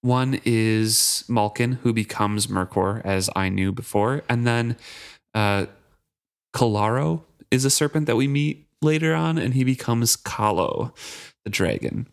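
Steady podcast co-host reading listener mail. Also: medium pitch, a little slow, vocal fry. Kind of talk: casual book podcast chat.

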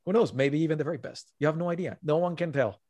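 A man's voice: a little high, fast, darkish tone, dry recording. A man who is not a native English speaker, talking earnestly as an author guest on a podcast and repeating his key points. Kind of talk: podcast interview.

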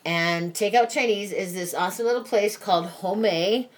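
A woman's voice: mid-range voice, moderate pace. Chatty woman in her forties hosting. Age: 40s. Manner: chatty, a little irritated, laughs easily. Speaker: podcast host. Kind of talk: casual podcast chat.

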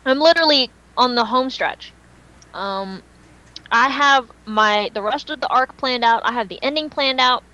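A woman's voice: high-pitched, normal speed, pushed and bright, dull audio. A woman co-hosting a fan podcast in casual, unscripted chat, speaking emphatically and repeating phrases for dramatic effect. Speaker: podcast co-host and fan fiction writer.

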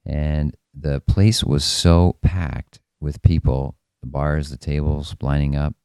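A hoarse man hosting a solo podcast, talking loosely with many fillers and restarts. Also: a bass voice, flat delivery, slow, mellow, dry recording. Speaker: podcast host.

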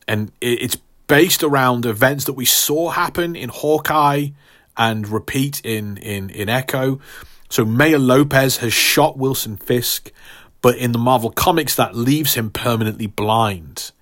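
A man's voice: mid-range voice, unhurried, a bit bright. A man hosting a podcast, talking straight to listeners in an emphatic, mock-grandiose way, casual but partly scripted. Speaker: podcast host.

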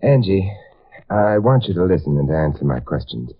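An actor playing a suave, wry amateur detective in a 1950s radio drama, with an urbane, probing manner. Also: male voice, deep voice, sing-song, moderate pace. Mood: earnest, pressing. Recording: tinny band-limited vintage radio recording.

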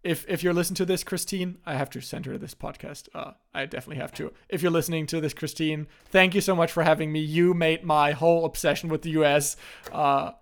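A young man from Germany, casually telling stories as a podcast host, in a relaxed way with frequent restarts. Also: fairly high pitch, fast talker, vocal fry.

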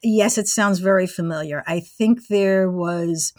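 A woman's voice: on the low side, unhurried, a little mellow, dry recording, studio quality. A woman in her sixties recounting her past step by step in a conversational interview, as the guest. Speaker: interview guest, former college radio engineer.

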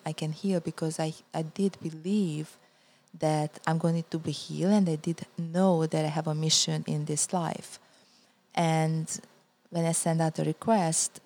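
Middle-aged hungarian lady, deep-ish voice, earnest podcast guest, repeats words a lot. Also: darkish tone. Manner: earnest, repeats words while searching for them. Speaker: guest healer. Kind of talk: podcast interview.

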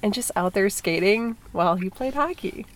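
A woman's voice: mid-range voice, animated, moderate pace. Congested woman chatting casually. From Minnesota. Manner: chatty, rambling with fillers and restarts. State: congested from a cold. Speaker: podcast host. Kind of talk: casual chat.

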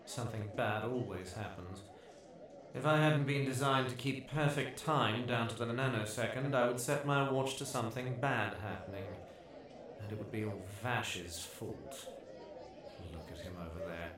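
A man's voice: mid-range voice, moderate pace, a crowd in the background, lightly acted. A man playing a prim, pessimistic robot with a British accent and dry, exasperated manner in a comedic sci-fi audio drama. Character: fussy, pessimistic, sardonic male robot butler.

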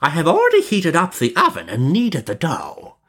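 A storyteller voicing a wicked old witch, sly and mocking, with a high cackling crone's voice and drawn-out, swooping delivery. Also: wildly swooping pitch, a bit bright, slightly rough, heavily acted. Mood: scolding, wheedling.

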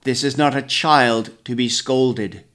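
Stern old village elder, put on by a male reader, booming, slow, severe. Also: fairly bright tone. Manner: booming, commanding, slow proclamations.

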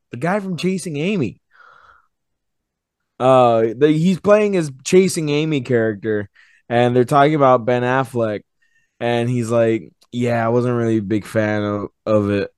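A man hosting a podcast, chatting casually about movies and swearing now and then, his voice hoarse and partly gone. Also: medium pitch, a little mellow, dry recording, clean studio sound. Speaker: podcast host. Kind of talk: casual movie review chat.

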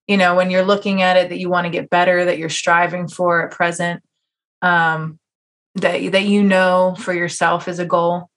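A woman's voice: fairly low pitch, a bit bright. A woman hosting a casual podcast interview on a USB microphone, chatty and roundabout in how she puts things. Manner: chatty, roundabout questions, often chuckling. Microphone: Blue Yeti USB microphone.

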